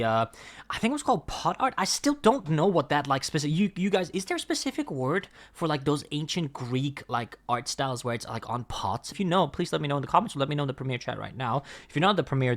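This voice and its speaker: A man with a mid-range voice and a Danish first language talks through a music reaction. He rambles, restarts sentences and leans on fillers as he thinks out loud. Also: medium pitch. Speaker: reaction video host. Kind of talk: casual music reaction commentary.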